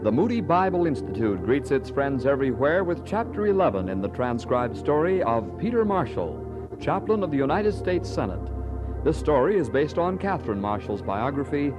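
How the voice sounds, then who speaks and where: A man's voice: mid-range voice, big pitch swings, normal speed. A man announcing formally from a script in the style of old-time radio. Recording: dull vintage radio recording over music.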